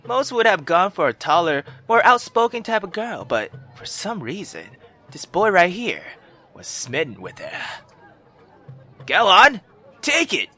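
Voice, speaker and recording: A male gaming YouTuber reading a visual novel aloud and reacting in a theatrical, excitable way. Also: high voice. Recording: music behind the voice. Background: background music.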